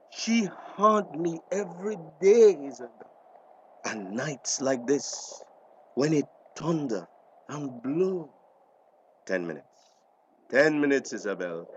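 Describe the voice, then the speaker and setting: An actor playing a guilt-ridden, worried older grandfather in a screen drama, halting and repeating himself, with a Belizean accent. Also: a little high, lo-fi sound, slight background noise. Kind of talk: acted screen drama dialogue.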